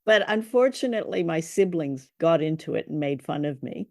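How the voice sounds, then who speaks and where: A woman's voice: deep voice, lively intonation, moderate pace, darkish tone, dry recording. Woman co-host in a dry, close recording. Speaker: podcast co-host. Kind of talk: casual unscripted chat.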